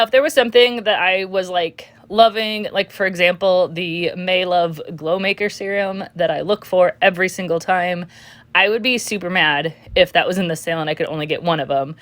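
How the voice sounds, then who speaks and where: A young woman vlogging her shopping with a chatty, filler-heavy voice that is a little congested from a cold. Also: lowish voice. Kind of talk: casual screen-recording walkthrough.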